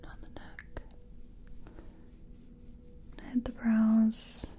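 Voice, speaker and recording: A woman doing a soft-spoken ASMR roleplay as a makeup artist, whispery and breathy, murmuring hesitantly as if half to herself. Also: fairly high pitch, monotone, slow pace.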